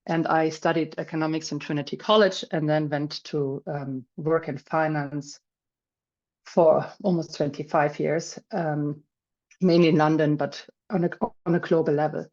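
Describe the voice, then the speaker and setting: A middle-aged woman with a German accent speaks in a low, unhurried register, chatting her way through a webinar presentation. She restarts sentences often and leans on "um" and "you know". The video-call audio comes through a little dull and muffled. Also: fairly even intonation, darkish tone. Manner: chatty, many fillers and restarts. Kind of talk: casual presentation.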